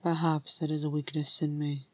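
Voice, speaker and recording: A woman voicing a brooding, gruff, regal male dwarf king in a story, with a grim, terse and solemn manner. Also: sits low, level tone, slow, mellow, no room sound. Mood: softened.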